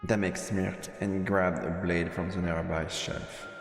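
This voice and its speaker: A man's voice: low voice, level tone, unhurried, gravelly. A man streaming a live let's play and reading the game's narration aloud over music. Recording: roomy, echoing mic over game music.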